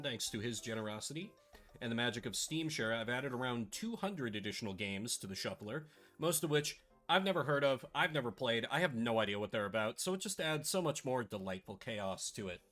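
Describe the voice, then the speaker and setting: A man hosting a casual live stream, chatty and playfully sarcastic, his voice a little rough and scratchy today. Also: medium pitch, animated, fairly quick, a bit bright, faint music behind.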